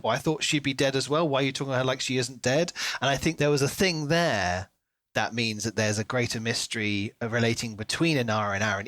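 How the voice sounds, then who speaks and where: A man's voice: mid-range voice, a bit bright, dry recording. A man co-hosting a podcast, talking casually through a book and acting out reactions as he retells it. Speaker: podcast co-host.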